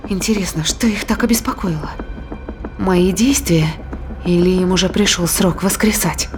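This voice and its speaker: A tense, sardonic woman of a spaceship crew, played by an actress, mutters to herself in a slightly low voice. She is blunt and self-mocking, in audio drama style, with music clearly playing behind her. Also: moderate pace, darkish tone, natural voice. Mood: wary, puzzled.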